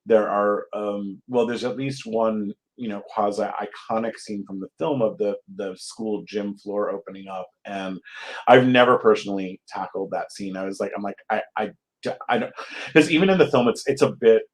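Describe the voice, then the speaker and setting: Hesitant man, slightly low voice. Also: normal speed. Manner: hesitant, thoughtful. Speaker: guest playwright. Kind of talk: casual interview chat.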